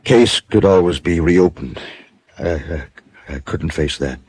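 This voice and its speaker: Slow, husky, wounded husband. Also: male voice, low-pitched, darkish tone. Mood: pained, resigned. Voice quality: husky, breathy. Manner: halting, broken phrases. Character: wounded, weary, remorseful wronged husband. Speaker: radio drama supporting actor. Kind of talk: 1950s radio drama acting.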